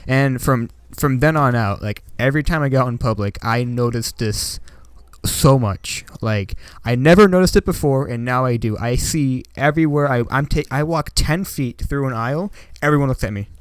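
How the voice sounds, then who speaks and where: Young man, from Washington State, vlog host, casual rambling storytelling, smooth clear voice, dry sound. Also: medium pitch, normal speed, no room sound. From Washington State, United States. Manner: rambling, with many "like" and "you know" fillers. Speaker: channel host.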